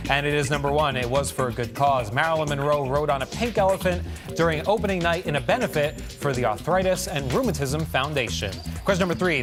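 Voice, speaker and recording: A man with a somewhat high-pitched voice hosts a trivia game. His delivery is upbeat and scripted, with the polish of a promotional announcer. Music plays clearly behind him. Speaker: trivia game show host. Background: music bed.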